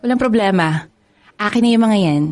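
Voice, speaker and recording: A woman's voice: medium pitch, lively intonation, normal speed, a little mellow, close to an everyday voice. An actress playing a kind, studious young college woman who is shy and eager to help in a radio drama. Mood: sweet. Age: young adult.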